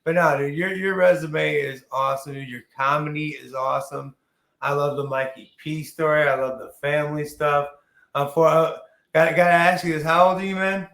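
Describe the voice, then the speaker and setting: A man's voice: fairly high pitch, fairly even intonation, normal speed, creaky, a bit bright. A man in his early forties hosting a casual interview, talking in an informal, slangy, rambling way. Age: early 40s. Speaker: podcast host. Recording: echoey, roomy sound.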